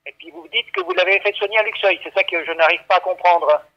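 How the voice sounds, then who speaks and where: High-voiced, stammering man on the phone. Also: very animated, fast, creaky. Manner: hesitant, stammering, repeating himself, patiently explaining. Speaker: veterinary clinic staff taking a call. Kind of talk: unscripted phone conversation. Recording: dull, lo-fi phone line.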